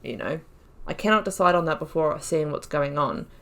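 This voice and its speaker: A woman's voice: low-pitched, normal speed, gravelly, fairly bright tone. A woman casually commenting on a game playthrough, thinking aloud in a chatty way and laughing often. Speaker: gaming YouTuber.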